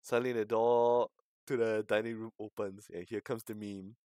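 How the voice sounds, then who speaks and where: A man's voice: on the low side, level tone, moderate pace, mellow, a little hiss or hum. A man streaming, reading a visual novel aloud with dramatic narration and his own commentary.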